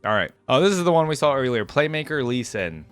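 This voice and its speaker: A man's voice: medium pitch, sing-song, quick pace, fairly bright tone, smooth, dry recording, faint music behind. A man giving casual reaction commentary in an informal, slangy gamer way. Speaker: reaction video host.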